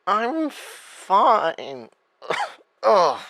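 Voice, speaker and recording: Slow, halting young captive. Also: male voice, sits high, wildly swooping pitch, sharp tone, no room sound, exaggerated voice. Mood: groggy, dazed. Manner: hesitant, halting, subdued. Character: neglected, frightened young man held captive, groggy. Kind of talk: horror audio drama acting.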